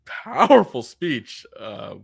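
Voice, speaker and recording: A man co-hosts a podcast in a relaxed, chatty way and laughs often. His voice sits at an ordinary middle pitch. The recording is close and dry, with no room sound. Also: slow pace, vocal fry, husky, dry recording. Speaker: podcast co-host. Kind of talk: casual podcast chat.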